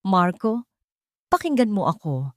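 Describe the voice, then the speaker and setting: A woman's voice: mid-range voice, very animated, measured pace, dry recording, studio quality, voice pushed up. A woman voicing a wounded, determined young woman who speaks earnestly in an audio love drama. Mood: pleading, shaken. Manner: earnest, restrained.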